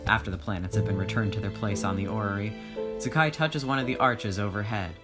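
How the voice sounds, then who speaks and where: A man's voice: lowish voice, fast talker. A man reading a story aloud as voiceover, with informal, sweary and joking asides of commentary. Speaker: voiceover reader. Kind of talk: story narration with casual commentary. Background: music.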